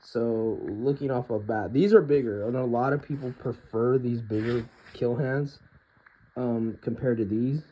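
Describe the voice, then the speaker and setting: A hoarse man talking informally and with strong opinions in an unscripted collector's review, often clearing his throat. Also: mid-range voice, fairly even intonation, a little slow, mellow, muffled recording, slight background noise. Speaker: hoodie collector reviewing products. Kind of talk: casual unscripted compare-and-contrast review.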